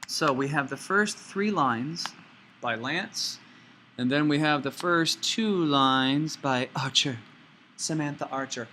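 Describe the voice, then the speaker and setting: A man teaching an informal step-by-step software tutorial, playfully talking himself through each action out loud. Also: a little high, slow pace, a little hiss or hum.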